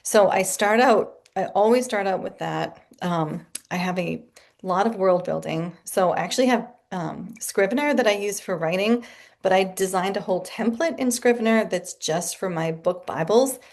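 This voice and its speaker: A woman speaking as a guest author in a casual interview from her home office, chatty and animated and laughing often. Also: mid-range voice, normal speed, gravelly.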